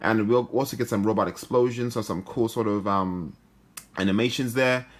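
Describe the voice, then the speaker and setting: A man's voice: lowish voice, normal speed. A man hosting a gaming video, giving casual commentary as he plays. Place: indoor room, filming a handheld phone.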